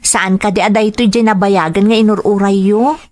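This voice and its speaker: A woman's voice: mid-range voice, steady tone, moderate pace, a little mellow, natural voice. A woman playing a devoted, hopeful grown daughter with an Ilocano accent in a family audio drama. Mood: excited, affectionate.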